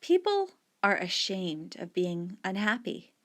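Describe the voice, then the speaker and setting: A woman's voice: mid-range voice, big pitch swings, slow pace, fairly bright tone. A woman voicing a weary, evasive mother. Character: weary, guarded working mother.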